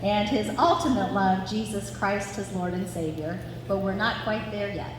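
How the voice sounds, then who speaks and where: A woman's voice: mid-range voice, normal speed. A woman reading a scripted family story aloud to a live audience. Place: large reverberant room at a family gathering. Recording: very echoey hall with clear background noise.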